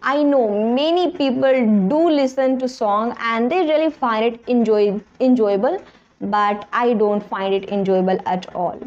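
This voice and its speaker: A woman's voice: a little high, a little mellow, highs cut off. A young woman whose first language is Hindi talks earnestly to the camera in her bedroom about learning English. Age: young adult. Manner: earnest, plain and direct. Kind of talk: casual talk to camera. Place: small bedroom indoors. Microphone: camera in front at close range.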